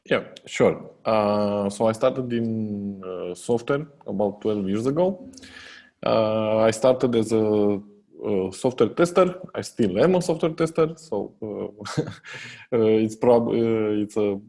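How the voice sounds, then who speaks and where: A man with a Romanian accent, a software company co-founder talking as a podcast guest. He hesitates a lot, with many fillers and restarts. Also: lowish voice, lively intonation, slow pace. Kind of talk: casual interview chat.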